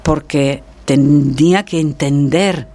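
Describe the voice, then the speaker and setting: A woman's voice: sits low, takes it slowly. Reflective woman telling her story. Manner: reflective, lively storytelling with many restarts. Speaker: guest, actress. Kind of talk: conversational interview.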